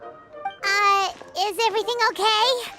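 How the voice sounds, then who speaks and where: A woman's voice: fairly even intonation, slow pace, music in the background. A woman voicing a tiny, childlike, chirpy and curious pixie companion in a video game. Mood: curious, cheerful. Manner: third-person self-reference, squeaky, exclamatory. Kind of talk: video game character acting.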